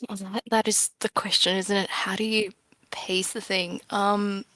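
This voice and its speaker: A woman with a mid-pitched voice answers questions in a casual developer interview. She is thoughtful and self-effacing, feeling her way through each answer with hesitations. The recording is close and dry. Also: flat delivery, unhurried, a bit bright, no room sound. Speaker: game developer being interviewed.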